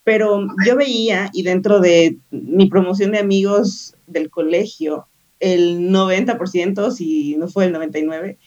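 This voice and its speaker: A woman's voice: fairly low pitch, measured pace, a little mellow. Woman guest from Ecuador, rambling and hesitant, on a dull lo-fi recording. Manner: rambling, hesitant with many fillers. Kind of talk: casual conversation.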